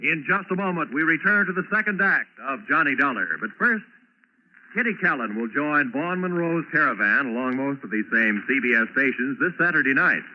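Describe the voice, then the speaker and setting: A man's voice: on the high side, a little fast. A male announcer giving a polished 1940s radio announcement. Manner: lively, polished announcer delivery. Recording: dull lo-fi 1940s radio with faint hiss.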